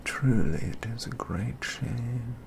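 A young man playing a pompous, doting model collector in soft-spoken ASMR roleplay, half-whispered and gravelly, with slow, formal, deliberate phrasing. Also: mid-range voice, expressive, a little hiss or hum. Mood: grandly admiring. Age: young adult. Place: small indoor studio, close up.

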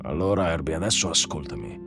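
A man's voice: a bass voice, lively intonation, fairly quick, over music. A man playing an elderly research director, stern, brusque and impatient, in an audiobook story.

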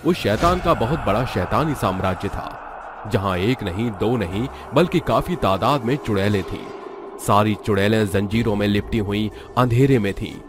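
A man's voice: on the low side, moderate pace, background noise. A man narrating a horror cartoon story in a dramatic, scripted storytelling style. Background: background music.

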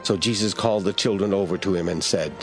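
A man narrating scripture from a script in a measured, formal storytelling manner. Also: low-pitched, faint music behind.

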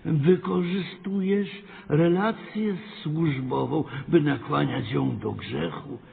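An actor playing a stern, sly parish priest who preaches in ponderous phrases in a radio play. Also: male voice, on the high side, slow pace, creaky, husky, phone-quality sound, exaggerated voice. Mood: reproving. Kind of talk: radio drama acting. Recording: thin band-limited radio sound, reverberant, faint noise.

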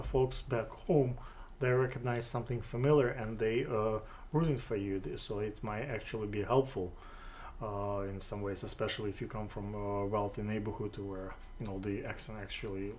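Halting Russian-accented male interviewer. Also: on the low side, steady tone, a little mellow, slight background noise. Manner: halting, many "uh" fillers and restarts. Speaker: interview host. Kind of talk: casual interview questions. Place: studio with city backdrop, seated on sofa.

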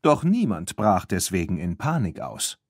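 A man narrating a children's story from a script in an expressive, animated way. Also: low voice, unhurried, dry recording, studio quality. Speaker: audiobook narrator.

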